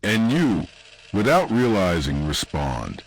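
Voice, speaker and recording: Deep, slow male horror narrator. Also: big pitch swings, a little hiss or hum. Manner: ominous, deliberate, short dramatic phrases with pauses. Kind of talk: scripted second-person analog horror narration.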